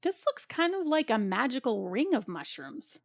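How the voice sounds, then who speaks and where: Lady playing a curious gnome, high voice, always asking, comedy audio skit. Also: very animated, normal speed, vocal fry. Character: curious, questioning female gnome.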